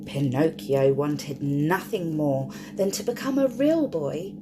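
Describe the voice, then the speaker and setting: A woman's voice: sits low, lively intonation, takes it slowly, background noise. A woman reading a picture book aloud expressively for young children, drawing out key words and speaking to the listeners. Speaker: children's storyteller.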